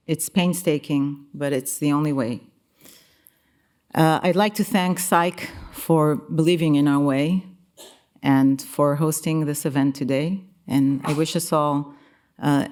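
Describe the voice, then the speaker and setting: A woman giving a prepared conference speech as a company executive, formal and measured, sometimes halting and repeating words. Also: deep voice, a little mellow. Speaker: company chief executive giving opening remarks. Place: conference venue in a museum.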